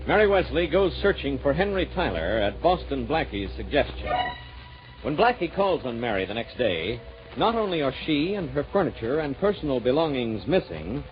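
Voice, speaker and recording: Animated old-time radio announcer man. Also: medium pitch, normal speed. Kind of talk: 1940s radio scripted narration. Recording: muffled old radio broadcast over music.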